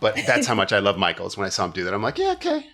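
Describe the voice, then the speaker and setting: A man's voice: on the low side, wildly swooping pitch, racing, a bit bright. A man hosting a comedy podcast, telling stories casually with wry, profane jokes.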